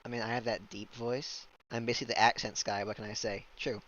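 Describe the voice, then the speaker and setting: A man's voice: on the low side, flat delivery, quick pace, a bit bright, muffled recording. A man hosting a casual stream chat, goofy and rambling, laughing often. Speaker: streamer host.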